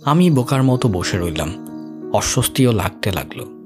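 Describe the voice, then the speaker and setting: A man's voice: lowish voice, very animated, normal speed, faint music behind. A man reading a scripted first-person story as an audio story narrator.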